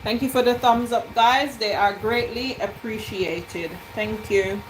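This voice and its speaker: A playful woman chats slowly and teasingly while she cooks at home, her voice sitting a little high. Faint kitchen ambience hums behind her, and she sounds picked up by a device set near the stove. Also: noisy background. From Jamaican heritage. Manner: playful, teasing, joking with chat. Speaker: cooking livestream host. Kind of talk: casual live cooking chat, reading out viewer comments.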